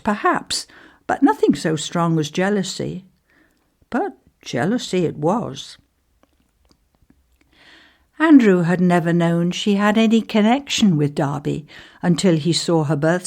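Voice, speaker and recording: Woman, slightly low pitch, measured story narration, deliberate pauses. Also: darkish tone. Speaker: actor reading a short story aloud. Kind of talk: scripted narration of a ghost story.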